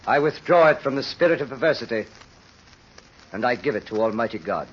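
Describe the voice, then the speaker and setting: An actor playing a solemn, merciful old bishop in an old radio drama, speaking piously. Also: male voice, medium pitch, lively intonation, a little fast, lightly acted. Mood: solemn, gentle. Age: older. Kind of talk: 1930s radio drama acting. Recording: muffled, hissy old radio recording.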